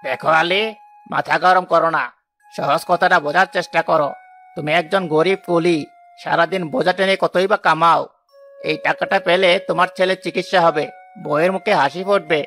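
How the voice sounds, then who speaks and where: A man's voice: fairly high pitch, steady tone, moderate pace, pushed and bright, soft background music. An actor playing a sly, greedy man in a cartoon tale, teasing and persuasive with false friendliness. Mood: coaxing. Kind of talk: Bengali cartoon villain acting.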